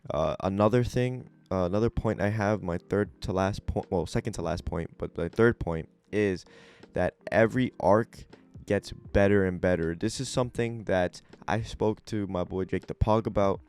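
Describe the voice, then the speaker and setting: Groggy low-voiced young man chatting casually. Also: moderate pace, clean tone. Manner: relaxed, rambling, lots of fillers and restarts. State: just woke up, voice a bit deeper and raspy. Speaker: anime and manga video host. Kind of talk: casual review talk.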